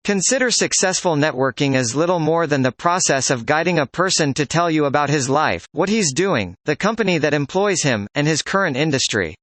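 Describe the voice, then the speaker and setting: A man's voice: on the high side, animated, fairly quick, hardly any pauses, fairly bright tone, no echo. A man reading a scripted practice passage aloud as a narrator.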